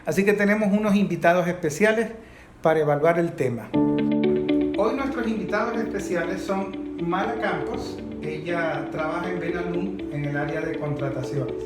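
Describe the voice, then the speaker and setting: A man with a Venezuelan accent hosting a news talk show, presenting topics and putting questions to guests. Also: on the high side. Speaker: host. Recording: roomy sound with faint music.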